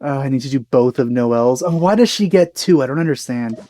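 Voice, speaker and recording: A man hosting a let's play, giving casual game commentary in a musing, halting way. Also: mid-range voice, expressive, dark tone, dry recording. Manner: musing, hesitant, halting phrasing. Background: game music.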